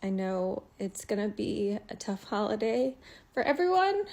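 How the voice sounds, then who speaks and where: A woman at a mid pitch talks slowly and quietly in a personal vlog. She hesitates often and fills the gaps with "um.". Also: big pitch swings. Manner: subdued, hesitant with many ums. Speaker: runner laid off that day.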